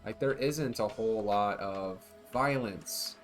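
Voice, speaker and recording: A relaxed man talks his way through a game stream with a slightly low voice, rambling and chatting loosely with his viewers. Faint music plays softly underneath. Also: slow. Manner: laid-back, rambling, talks to chat. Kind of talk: casual livestream chat.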